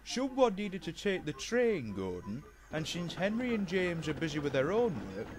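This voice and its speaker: A man's voice: fairly high pitch, sing-song, moderate pace, noisy background, pitched above the performer's own voice. A man voicing a formal, authoritative railway controller who gives orders in a children's storybook. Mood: firm. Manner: formal, commanding. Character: brisk, authoritative male railway controller, fatherly boss.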